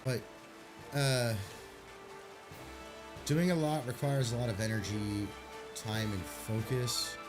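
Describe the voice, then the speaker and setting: A man's voice: medium pitch, steady tone, slow pace, long pauses, music in the background. A man streaming a game, chatting casually and rambling, stuffy from a sinus headache. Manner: rambling, laid-back, swears casually.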